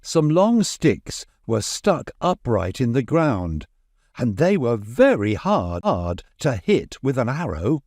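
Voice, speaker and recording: A man reads a bedtime story for children in a medium-pitched voice. He takes his time and swings his intonation widely to keep the tale expressive. The sound is dry, with very little room to it. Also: slow, dry recording.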